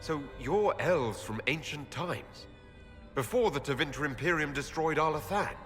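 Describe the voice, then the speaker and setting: A man's voice: a little high, expressive, moderate pace, a bit bright, big-room sound, soft background music. A man playing a wary, questioning elven leader in a video game, direct and controlled as he probes. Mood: curious. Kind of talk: dramatic video game dialogue.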